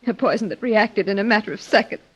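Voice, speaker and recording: An actress playing an earnest, grieving young wife in old-time radio drama style, speaking haltingly with emotion. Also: female voice, medium pitch, breathy edge, natural voice. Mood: troubled, earnest. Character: grieving young wife of a wealthy older man. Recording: dull, lo-fi old radio recording.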